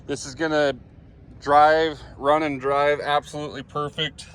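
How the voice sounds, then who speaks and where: A man giving a casual walkaround sales pitch as a truck seller, plain-spoken and in short clipped phrases. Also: level tone, unhurried, a bit bright, clean tone. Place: outdoors around a truck and inside it while driving.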